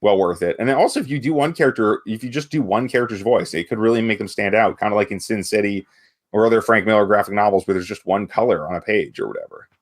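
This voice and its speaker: A man's voice: fairly low pitch, fast. A man co-hosting a podcast, chatting casually and often doubling back on his own sentences. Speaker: podcast host. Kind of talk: casual chat about gaming.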